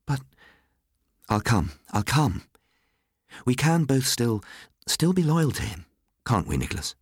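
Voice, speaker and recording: Man voicing a soft, grave, tender lady. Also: medium pitch, sing-song, a little slow, husky, no echo, clean studio sound, pitched above the performer's own voice, exaggerated voice. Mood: agitated. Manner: soft, hushed, hesitant.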